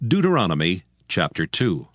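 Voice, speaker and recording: A man's voice: deep voice, wildly swooping pitch, moderate pace, fairly bright tone, no room sound. A man narrates King James scripture as a scripted audio Bible reading, with background music.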